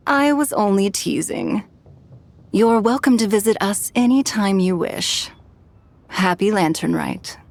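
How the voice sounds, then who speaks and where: Poised, creaky-voiced noblewoman. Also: medium pitch, animated, slow pace, a little mellow. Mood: gently teasing. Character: poised, shrewd, teasing noblewoman leader, composed. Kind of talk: video game voice acting.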